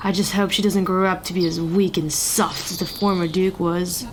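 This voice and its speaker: A woman's voice: on the low side, level tone, normal speed, noisy background, close to an everyday voice. Woman playing a sneering, haughty servant. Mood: sneering disdain. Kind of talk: fantasy audio drama acting.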